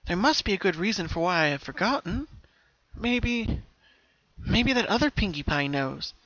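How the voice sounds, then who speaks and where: Man as troubled young female pony, high voice, earnest, hesitant, pleading, dramatic story reading. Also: lively intonation, moderate pace, fairly bright tone, dry recording, dull audio. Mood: puzzled.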